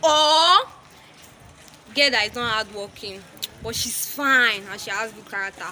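Woman, high voice, casual interviewer, outdoor noise, vehicles. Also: lively intonation, measured pace, sharp tone. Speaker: street interviewer. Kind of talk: casual vox pop questioning. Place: open-air school campus. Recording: noisy outdoor campus, crowd and traffic. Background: crowd and vehicle noise.

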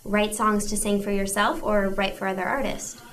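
A woman's voice: medium pitch, fairly even intonation, moderate pace, a bit bright. A young woman asking prepared questions as an interviewer. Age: young adult. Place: small indoor room. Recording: distant mic, faint background noise.

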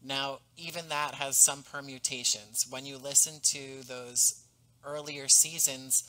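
Guy in his 30s on a headset video call, bright tense voice, eager and chatty. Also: medium pitch, measured pace, low-quality recording. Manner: animated, enthusiastic storytelling. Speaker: podcast guest, audio drama creator. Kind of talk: casual interview. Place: home room, indoors.

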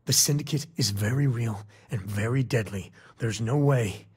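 An actor playing a protective, wry space-cowboy father in a video game, with a husky, rough-edged voice and a casual, folksy way of talking. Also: mid-range voice, moderate pace, darkish tone. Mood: worried, stern. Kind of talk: video game dialogue acting.

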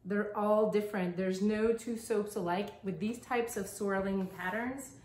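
A woman's voice: medium pitch, fairly even intonation, unhurried, a little mellow, roomy sound. A woman in her thirties narrating her craft work in a casual, upbeat, appreciative way. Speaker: soap maker presenting own videos. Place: small indoor workshop kitchen.

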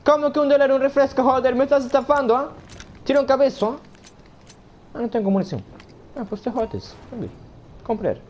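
A man's voice: extremely high pitch, brisk, pauses often, a little mellow, slight background noise, voice pushed up. A man putting on the voice of a crude, boastful, foul-mouthed rich foreigner with broken grammar, commentating a game in character. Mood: rude. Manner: swears constantly, broken grammar, brash and rude.

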